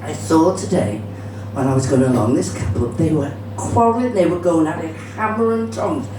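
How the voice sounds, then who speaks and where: A woman's voice: sits low, moderate pace. Bawdy Cardiff woman doing stand-up, echoey room. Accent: Cardiff Welsh. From Cardiff, Wales. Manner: bawdy, chatty, swears freely. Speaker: stand-up comedian. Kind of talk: live stand-up comedy to an audience. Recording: roomy, distant, noisy live venue recording.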